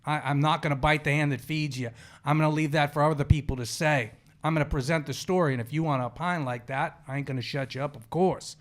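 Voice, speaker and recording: High-pitched podcast host, a man. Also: steady tone, fast talker, few pauses. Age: 40s. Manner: opinionated, slangy, conversational storytelling. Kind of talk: casual sports podcast chat.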